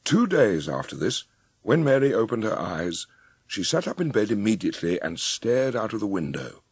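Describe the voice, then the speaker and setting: A man's voice: fairly low pitch, sing-song, moderate pace, slightly rough, no room sound. A man narrating an audiobook story in a scripted, storytelling style.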